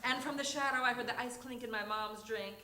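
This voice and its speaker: Higher-voiced woman storyteller in a roomy theatre. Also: fairly quick, crowd noise. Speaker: reader of a humorous memoir story. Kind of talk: live dramatic reading of a comic personal story. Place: theatre stage before an audience. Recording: roomy, noisy live stage recording.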